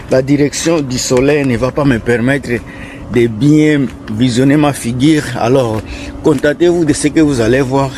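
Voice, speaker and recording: A man with an African French accent talks straight to his viewers from outdoors, his voice mid-pitched and unhurried. He is earnest and keeps repeating his points. Faint street and traffic sounds sit behind him. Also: darkish tone. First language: French not his first language. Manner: earnest, repetitive, advising. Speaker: vlogger. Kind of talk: casual vlog talking to viewers.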